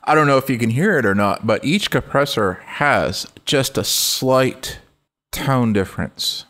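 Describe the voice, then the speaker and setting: A middle-aged man with a low voice hosting a casual music-production walkthrough, relaxed and rambling, often correcting himself mid-sentence. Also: unhurried. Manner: relaxed, rambling, self-correcting, laughs easily. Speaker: music production tutorial host. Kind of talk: casual screen-recorded walkthrough.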